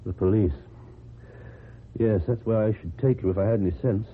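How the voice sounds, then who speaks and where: Man acting a low-voiced, reserved British ex-convict. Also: natural voice. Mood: questioning. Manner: formal, measured, precise speech. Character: reserved, lonely, well-educated male ex-convict, kindly but wary. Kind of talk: British radio drama acting. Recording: muffled, noisy old radio recording.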